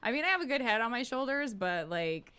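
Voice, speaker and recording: A woman's voice: fairly high pitch, sing-song, a little fast, bright, forceful tone, clean tone. Chatty, candid woman in her forties. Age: 40s. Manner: candid, chatty, freely swearing. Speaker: podcast co-host. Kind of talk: casual chat.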